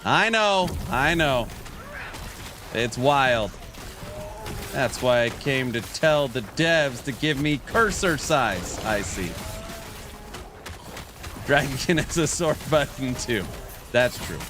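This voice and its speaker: Late-30s male game streamer, unhurried, jokey chat-reading banter. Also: mid-range voice, expressive, noisy background. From California. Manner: jokey, reads viewer chat aloud and banters. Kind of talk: casual livestream chat while gaming.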